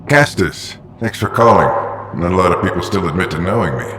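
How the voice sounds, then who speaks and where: An actor playing a stern, secretive middle-aged explorer in a video game, terse and commanding. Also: male voice, low voice, expressive, fairly quick, a bit bright. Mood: serious, urgent. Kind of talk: video game dramatic acting. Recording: echoey hall, faint noise.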